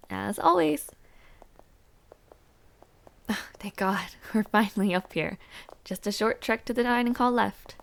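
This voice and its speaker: A woman's voice: mid-range voice, gravelly. A young woman playing a chatty, over-prepared university student in a roleplay audio drama, talking casually and a little hesitantly. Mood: thoughtful, teasing, then relieved, hungry. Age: young adult.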